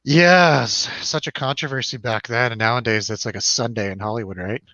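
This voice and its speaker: A man's voice: medium pitch, a little fast, sharp tone, no echo, dull audio. A man hosting a casual film review chat, rambling and jokey, putting questions to his co-host. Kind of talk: casual chatty film discussion over drinks.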